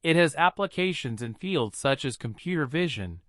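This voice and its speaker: A man reading an explanatory scripted passage aloud, measured and carefully enunciated. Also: a little high, animated, a bit bright, no room sound, studio quality. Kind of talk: scripted read-aloud narration.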